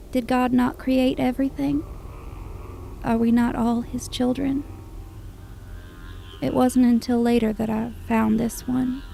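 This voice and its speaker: A slow, intensely creaky female voice as a cold killer leaving a taunting taped message. The delivery is flat, deliberate and menacing, like a sermon in a crime drama, with faint music. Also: on the high side. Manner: measured, deliberate, monotone, menacing. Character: cold, taunting, scripture-quoting serial killer. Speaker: voice actor. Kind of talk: crime audio drama monologue.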